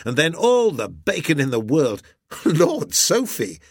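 A man's voice: a little high, huge pitch swings, a little slow, breathy edge, no room sound, studio quality, voice pushed up, full character voice. A man voicing a hearty, beaming sea captain in an audiobook, with an exuberant, bluff manner and old-fashioned nautical phrasing. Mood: hearty, jovial.

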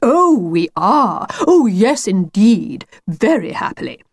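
A woman's voice: medium pitch, very animated, slow pace, dry recording, full character voice. A woman voicing an effusive, fussy male talking wolf in a children's story, grandiose and chummy. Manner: grandiose, fussy, old-fashioned endearments. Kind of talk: children's storybook character reading.

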